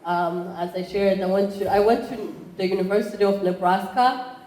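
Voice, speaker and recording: A woman's voice: medium pitch, steady tone, normal speed, darkish tone, slight background noise. A woman in her thirties from Rwanda with a Rwandan accent, giving a conversational conference talk with frequent fillers and restarts. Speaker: entrepreneurship program chair. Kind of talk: conference presentation. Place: conference room. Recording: roomy, faint background ambience.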